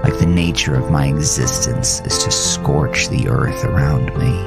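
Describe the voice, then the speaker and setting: A man narrating a scripted first-person horror story in a measured, dramatic way with pauses. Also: a bass voice, creaky, over loud music. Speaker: host and author reading own horror story.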